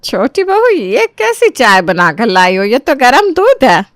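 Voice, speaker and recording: A woman's voice: a little high, sing-song, measured pace, no room sound, studio quality. A woman playing a bossy, nagging older mother-in-law who scolds and demands in a dramatized moral story. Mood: annoyed. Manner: scolding, demanding, rustic turns of phrase.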